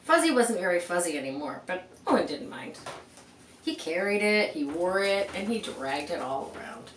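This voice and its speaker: A woman's voice: lowish voice, normal speed. Woman reading a storybook, distant and roomy. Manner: expressive, commenting aside and asking rhetorical questions. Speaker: children's storybook reader. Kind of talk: read-aloud picture book with chatty asides to young listeners. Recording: roomy, distant mic with faint background noise.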